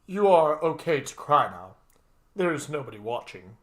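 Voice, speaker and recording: A man voicing a devoted butler in an audio story, speaking in a formal, courteous and measured way. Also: on the high side, very animated, fairly bright tone. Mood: gentle, formal. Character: devoted, formal male butler, calm and dutiful.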